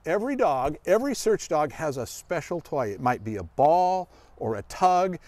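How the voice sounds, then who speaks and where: A man's voice: fairly high pitch, big pitch swings, normal speed, darkish tone, no room sound. A middle-aged man giving a casual, folksy demonstration for children as a dog handler, explaining and asking questions. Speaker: search dog handler and guest presenter. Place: outdoors in a grassy forest clearing.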